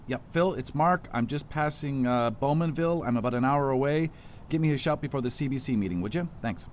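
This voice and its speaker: Man playing a brash, fast-talking, impatient boss. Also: medium pitch, slight background noise, close to an everyday voice. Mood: impatient, irritated. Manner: brusque, sweary, cocky. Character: brash, foul-mouthed, impatient flirtatious male boss. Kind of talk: naturalistic audio drama acting.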